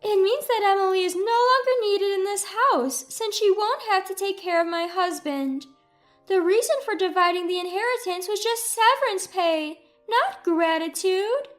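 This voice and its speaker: A woman voicing a spiteful, self-centered older mother-in-law in a narrated family story, with a shrill, indignant manner. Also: normal speed, faint music behind. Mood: gloating.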